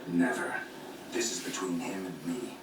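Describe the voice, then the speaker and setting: An actor playing a gruff, stoic monster hunter in a video game, terse, deadpan and defiant. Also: male voice, low-pitched, moderate pace, distant mic, natural voice. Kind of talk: video game cutscene acting. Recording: echoey game audio with noisy background.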